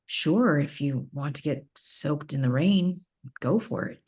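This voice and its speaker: A woman's voice: low-pitched, a little slow, a little mellow, slightly rough. A woman playing a stubborn, by-the-book female detective in a screenplay table read, firm and dismissive.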